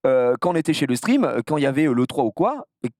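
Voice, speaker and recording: A man from the south of France chatting casually as an interview guest, telling anecdotes in an animated, slangy way with some crude language. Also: mid-range voice, fast talker, no echo, studio quality. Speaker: streamer being interviewed.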